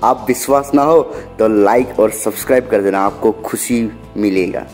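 A man's voice: fairly low pitch, moderate pace, darkish tone, faint music behind. A man giving an earnest voice-over between vlog clips, urging viewers to like and subscribe.

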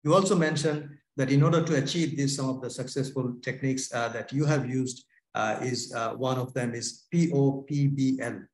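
A man's voice: medium pitch, moderate pace, few pauses, a little mellow. A man chairing an online academic panel over a video call, hesitant with many filler sounds. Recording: echoey room on a video call.

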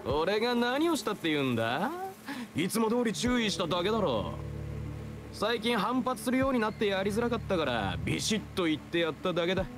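An arrogant male thug from an anime game, brash and dismissive. His voice sits high and swings widely, over clear background music. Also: big pitch swings, brisk. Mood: defensive. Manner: brash, curt, self-justifying. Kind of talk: anime-style game voice acting.

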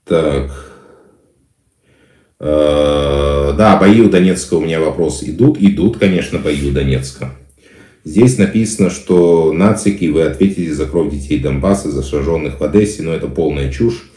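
A man's voice: a bass voice, moderate pace, warm tone, reverberant. A middle-aged man answering viewer questions on a live stream, with hesitant fillers and emphatic repetition. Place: small indoor room. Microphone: phone camera close-up.

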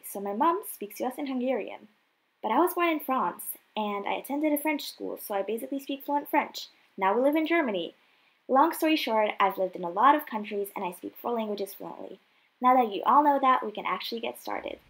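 A young woman talks to the camera from her bedroom in an earnest, chatty way, leaning on reassuring phrases. Her voice sits in the middle of the range for a woman. The room adds a noticeable echo. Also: medium pitch. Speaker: vlogger. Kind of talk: casual advice to camera. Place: bedroom, indoors. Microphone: camera in front, medium framing.

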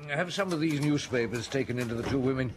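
An actor playing a shrewd, composed male police chief in a radio drama, speaking deliberately with thoughtful pauses. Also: medium pitch, background noise, lightly acted. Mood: brisk, in command. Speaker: lead actor and narrator.

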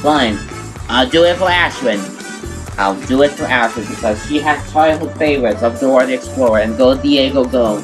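A woman voicing an excitable, grumbling cartoon sea sponge in an amateur storytime skit, dramatic and full of complaint. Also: a bass voice, normal speed, low-quality recording. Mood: grudging, exasperated. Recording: game music behind the voice.

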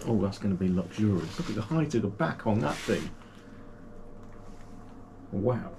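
A man's voice: lowish voice, lively intonation, normal speed, gravelly, noisy background. A man, casually unboxing and reviewing gear for his channel in a small home room, chatty and full of fillers and restarts. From United Kingdom. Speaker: kayaking channel host.

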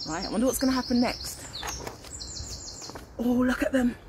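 Casting: woman, middle-aged, highish voice, expressive early-years storyteller, recorded outdoors with light birdsong and ambience. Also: moderate pace, noisy background. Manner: animated, questioning, addresses children directly. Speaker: teacher reading to young children. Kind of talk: expressive read-aloud of a picture book with explanations for children. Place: outdoors in a leafy garden.

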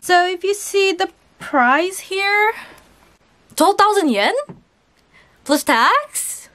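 High-voiced woman with a cold, unboxing. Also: extremely slow. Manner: chatty and excitable, chuckles at prices. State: has a cold. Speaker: channel host unboxing a lucky bag. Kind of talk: casual haul vlog voice-over. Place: indoors at a table.

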